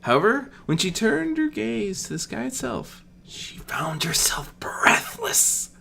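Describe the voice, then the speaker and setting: A narrator reading a scripted fantasy story in an expressive storybook manner, with dramatic pauses. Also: medium pitch, creaky, in a whisper. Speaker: audiobook narrator.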